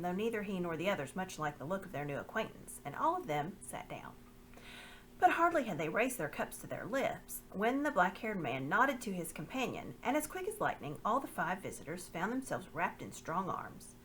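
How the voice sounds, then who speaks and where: Lively woman reading a storybook aloud. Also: on the low side, moderate pace, a little hiss or hum, low-quality recording. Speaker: reader. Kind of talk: reading a children's book aloud.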